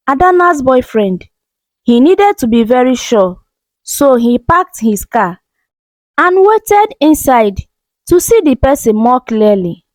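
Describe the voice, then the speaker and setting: Slow, high-pitched, animated woman storyteller. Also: no room sound, rough recording. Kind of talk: dramatic scripted folktale narration.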